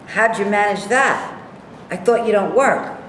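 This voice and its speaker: A woman's voice: mid-range voice, a little slow, a bit bright, close to an everyday voice. A woman playing a brash, opinionated, combative man in an amateur staged play reading, blunt and needling in manner. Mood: argumentative. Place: echoey room, staged reading. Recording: very echoey room, faint noise.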